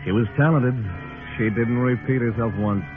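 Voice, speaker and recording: A man's voice: mid-range voice, normal speed, lightly acted. An American man playing a stern frontier marshal in an old radio western, telling his story in a laconic, hard-boiled way. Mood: grave, reflective. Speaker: narrating lead actor. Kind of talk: old-time radio western acting with first-person narration. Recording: tinny old radio recording with music.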